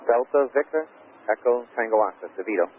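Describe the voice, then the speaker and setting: A man's voice: medium pitch, animated, fairly quick, phone-quality sound. A man working as an air traffic controller, speaking in clipped phraseology over aviation radio.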